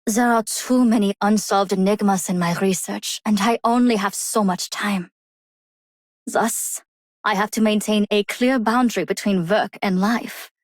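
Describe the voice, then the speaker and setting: A woman playing a serious, composed researcher in a video game, measured and formal. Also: medium pitch, fairly even intonation, dry recording, natural voice. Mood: composed, reflective. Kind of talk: video game character voice acting.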